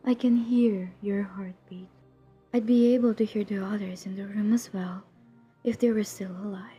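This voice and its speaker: A woman playing a centuries-old vampire who hunts her own kind in an audio roleplay, cold, menacing and drily teasing, in a deliberate half-whisper. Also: medium pitch, mellow, muffled recording. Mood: cool menace. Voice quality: breathy, husky, half-whispered. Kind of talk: intimate scripted audio roleplay.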